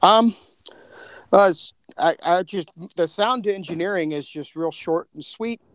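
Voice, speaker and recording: A man's voice: high voice, a little slow, fairly bright tone, dry recording. A man in casual chat as a podcast guest, effusive and slangy, often repeating phrases as he goes. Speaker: podcast co-creator and panel guest.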